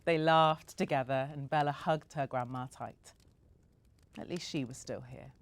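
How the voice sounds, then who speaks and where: A woman reading a story aloud in a gentle, measured way. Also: extremely low pitch, fairly even intonation. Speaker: story reader. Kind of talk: storybook narration.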